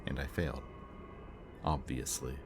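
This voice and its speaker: A man playing a bitter, remorseful villain in an audio roleplay, confessing in a low, intimate voice that sometimes drops to a whisper. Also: unhurried, music in the background. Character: remorseful bitter male villain, young adult. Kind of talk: audio roleplay addressed to the listener. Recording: steady ambient background noise.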